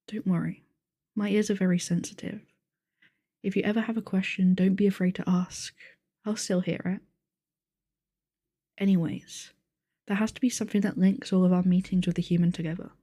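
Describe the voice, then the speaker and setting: Mellow, pedantic woman as a blunt game master. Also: medium pitch, fairly even intonation, normal speed, long pauses, no room sound, studio quality, close to an everyday voice. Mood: matter-of-fact. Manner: matter-of-fact, pedantic. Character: strict, blunt, rule-following game master with sensitive ears, female nonhuman. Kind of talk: ASMR audio roleplay.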